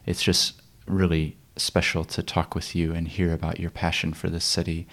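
A man hosting a podcast interview, asking questions in a relaxed way and often murmuring agreement. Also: deep voice, normal speed. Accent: mixed, not typical New Zealand. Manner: affirming, frequent murmured agreement. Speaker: podcast host. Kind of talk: casual interview conversation.

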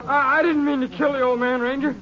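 A man's voice: very high voice, little pitch movement, quick pace, sharp tone, highs cut off. An actor playing a cornered male killer who threatens and stammers, in 1950s radio drama style. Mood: pleading. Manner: menacing, stammering. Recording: noisy old radio broadcast, distant.

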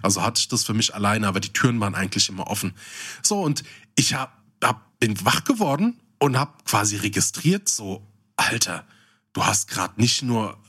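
A man's voice: fairly low pitch, lively intonation, moderate pace, pushed and bright, husky. A man hosting a podcast, telling anecdotes in a casual, slangy, jokey way. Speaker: podcast host. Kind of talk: casual comedic chat and anecdotes.

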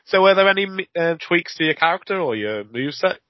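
A man's voice: on the high side, expressive, quick pace, no echo. A man in his forties interviewing a wrestler in casual chat, stumbling over repeated words and laughing often. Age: 40s. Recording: dry, dull lo-fi audio.

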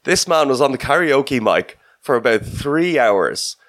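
A man's voice: medium pitch, big pitch swings, a little slow, a bit bright, no room sound, clean studio sound. A man co-hosting a sports podcast in casual conversation. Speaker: podcast co-host.

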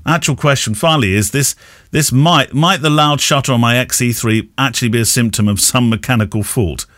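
A man's voice: mid-range voice, brisk, a bit bright, dry recording, clean studio sound. A man hosting a podcast, reading out listener questions and prompting his co-host with tag questions.

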